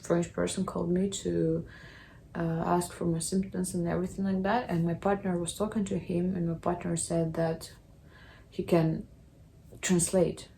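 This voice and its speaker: Low husky-edged woman vlogging. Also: level tone, warm tone, a little hiss or hum. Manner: halting, apologetic non-native English with restarts. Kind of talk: casual chat to camera. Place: apartment.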